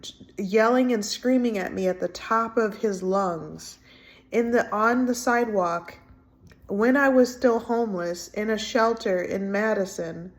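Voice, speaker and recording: Soft-spoken rambling woman in her thirties. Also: medium pitch. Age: 30s. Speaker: vlogger. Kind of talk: casual personal vlog. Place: indoors at home, window closed.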